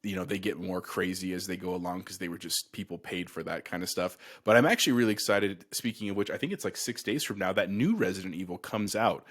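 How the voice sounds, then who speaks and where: Middle-aged man, lower register, enthusiastic, casual podcast co-host. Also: fast, hardly any pauses.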